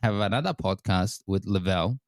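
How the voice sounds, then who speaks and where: A man's voice: deep voice, a little fast, dry recording, studio quality. A man from Afghanistan co-hosting a podcast, stammering a little and saying "mate". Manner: stammering, laughs often, says mate. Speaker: podcast co-host. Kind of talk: casual interview chat.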